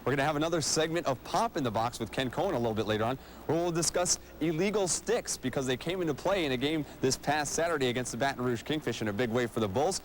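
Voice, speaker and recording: A man's voice: medium pitch, fast talker, few pauses, a little hiss or hum. A man hosting a sports show, speaking in a polished broadcast style as he presents and interviews. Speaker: TV sports show host and play-by-play announcer. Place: arena civic center.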